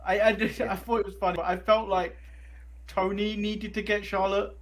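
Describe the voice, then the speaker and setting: A man's voice: sits high, flat delivery, moderate pace, a bit bright. A man from England, co-hosting a casual sports podcast and rambling a little as he repeats phrases. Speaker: podcast co-host.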